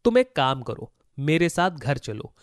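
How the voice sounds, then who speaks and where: A man's voice: a little high, very animated, measured pace, no echo, clean studio sound. A man voicing a kind, brave boy in a children's story, speaking earnestly and with animation. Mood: reassuring. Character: kind, brave poor boy, eager to help. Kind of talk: Hindi children's moral story voice acting.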